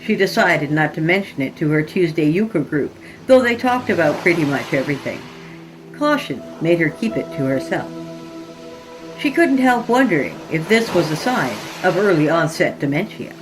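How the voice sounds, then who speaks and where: A woman's voice: low-pitched, very animated, normal speed, rough recording. A woman reading scripted horror fiction as a podcast narrator, in a dramatic storytelling style. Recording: music behind the voice. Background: music.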